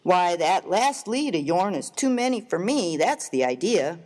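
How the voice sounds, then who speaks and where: A rough American frontier miner voiced by a man, folksy and slangy in a comic story reading. His pitch swings widely as he makes each point emphatically. The recording rings with a long, hall-like reverb. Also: big pitch swings, unhurried, darkish tone. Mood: earnest, slangy. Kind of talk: dramatized reading of a humorous story.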